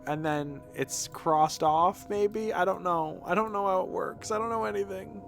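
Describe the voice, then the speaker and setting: Guy streaming a game, kinda high voice, casual rambling commentary, music behind. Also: normal speed, vocal fry, fairly bright tone.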